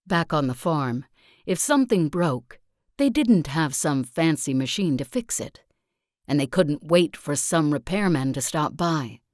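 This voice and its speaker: A low-voiced female sect leader for a strategy game. She delivers her lines slowly and solemnly, like a preacher who is wholly sure of her creed. The sound is dry and close. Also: lively intonation, a little mellow, no room sound. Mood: earnest, reflective. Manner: solemn, deliberate, sermon-like oratory. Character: zealous self-help guru and sect leader, preaching with calm conviction. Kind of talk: scripted video game character monologue.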